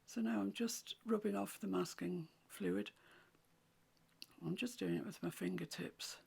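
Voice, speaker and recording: Croaky, half-whispering woman. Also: medium pitch, measured pace. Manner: gentle, often half-whispered instructions. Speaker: watercolour painting teacher. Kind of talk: soft-spoken painting tutorial. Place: home room with a mantelpiece.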